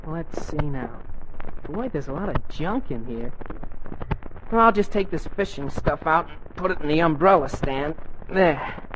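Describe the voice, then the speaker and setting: Boyish teen sweetheart, earnest n dreamy, voice all over the place, old hissy 40s radio comedy. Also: male voice, a little high, lively intonation, measured pace. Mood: busy, hurried. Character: eager, dreamy teenage boy sweetheart. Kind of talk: 1940s radio comedy acting. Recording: muffled, hissy 1940s radio broadcast.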